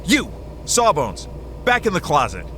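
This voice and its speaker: A man's voice: on the high side, huge pitch swings, unhurried, sharp tone, a little hiss or hum, full character voice, low-quality recording. A man playing a cocky, wry, hardboiled hero in a detective audio drama. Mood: commanding. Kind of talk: first-person detective drama narration.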